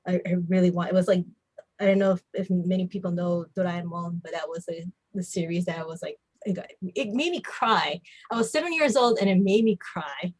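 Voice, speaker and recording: A woman's voice: on the low side, a little fast, a little mellow. An American woman from Hawaii casually telling her own story in an interview, informal and often saying "like". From Hawaii, United States. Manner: informal, frequent "like". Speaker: comic book artist, podcast guest.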